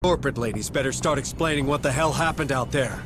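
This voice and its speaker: An actor playing a blunt, cynical marine sergeant in a video game, gruff and sardonic in manner. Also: male voice, mid-range voice, quick pace, background noise, lightly acted. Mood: angry, accusing. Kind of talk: video game cutscene acting.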